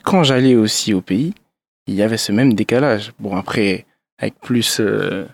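A Frenchman of Gabonese parents, a guest on a radio interview, talking in a reflective, relaxed way with fillers. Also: lowish voice, normal speed, gravelly. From France, Gabonese parents. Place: radio studio.

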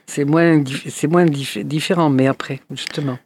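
A man's voice: fairly high pitch, fairly even intonation, normal speed, breathy edge. A man hosting a radio interview in a studio, hesitant with many fillers and restarts. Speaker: radio host.

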